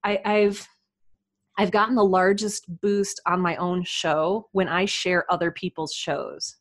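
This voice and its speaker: Female podcast guest, slightly low voice, informal and enthusiastic, conversational delivery. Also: little pitch movement, measured pace. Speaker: podcast guest, writer and audio drama producer. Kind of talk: casual interview chat.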